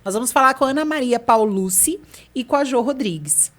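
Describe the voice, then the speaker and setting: A woman's voice: high voice, lively intonation, brisk. Bubbly chatty woman hosting a podcast. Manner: bubbly, chatty, laughs easily. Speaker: podcast host. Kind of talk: casual chat.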